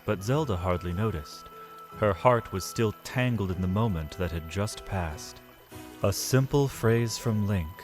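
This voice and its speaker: A man narrating a scripted fantasy audio drama, solemn and measured, with deliberate pauses. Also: on the low side.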